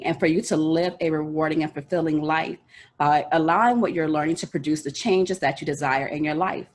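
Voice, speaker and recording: A woman from the Bahamas with a Bahamian accent leads a motivational lesson on life goals. Her voice sits low and deep. She talks earnestly and directly, and her pace often runs fast. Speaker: life coach teaching a session. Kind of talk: motivational teaching talk.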